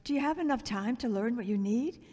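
A woman playing a fond, gently teasing older mother in an audio drama. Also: on the high side, brisk, close to an everyday voice. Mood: earnest. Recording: long echoey reverb.

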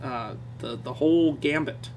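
A man co-hosting a podcast in casual chat. He is excitable and laughs often. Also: mid-range voice, unhurried, a little hiss or hum. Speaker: podcast co-host.

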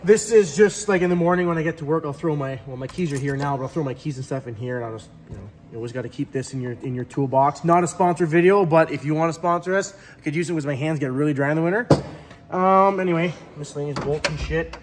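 Young guy, slightly high voice, loose and chatty, phone-recorded in a shop. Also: fast talker, noisy background. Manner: laid-back, rambling, swears casually. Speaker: heavy diesel mechanic. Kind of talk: casual tool box tour. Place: large shop garage.

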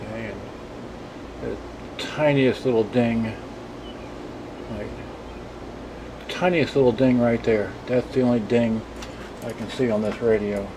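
Male vlogger, unhurried and muttering, off-the-cuff demo delivery, noisy camcorder sound. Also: medium pitch, fairly even intonation. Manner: rambling, muttering to himself in short fragments. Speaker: vlogger showing vintage electronics. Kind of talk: casual off-the-cuff product demonstration. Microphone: handheld camcorder's built-in microphone.

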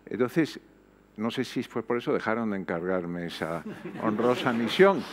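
Older guy on a panel, lowish voice, swings up and down a lot, lots of ehs and pauses, headset mic. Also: lively intonation. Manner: reflective, emphatic, frequent hesitations. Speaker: panel guest. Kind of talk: panel discussion. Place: auditorium stage before an audience.

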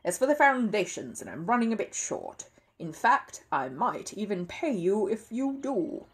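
Woman doing a loud, gruff old neighbour. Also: medium pitch, very animated, measured pace. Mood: bossy. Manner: loud, barking, stern. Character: gruff bossy older male neighbour.

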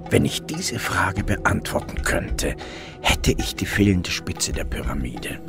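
A man's voice: deep voice, big pitch swings, takes it slowly, creaky, music in the background, full character voice. A man acting as a brooding police detective who narrates his case in first person in a German crime radio play. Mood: pensive.

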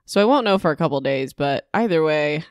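A woman's voice: deep voice, lively intonation, a little fast, smooth, dry recording, clean studio sound. A woman hosting a casual solo book recap, sounding a bit sick, informal and sarcastic with mild exasperation. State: sick with a cold-like illness. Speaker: podcast host.

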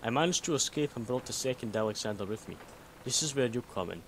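A man playing a secretive, guarded synth copy of a Soviet spy in a game mod, explaining in a measured way. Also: mid-range voice, animated, natural voice. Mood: guarded, explanatory. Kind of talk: scripted game mod dialogue. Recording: noticeable background noise.

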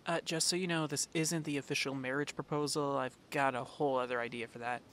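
Guy acting a young superhero boyfriend, sincere, affectionate, bright voice, faint rumble behind him, asmr roleplay. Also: steady tone, quick pace, natural voice. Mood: earnest, tender. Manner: hesitant, earnest, affectionate. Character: earnest young male superhero, devoted boyfriend.

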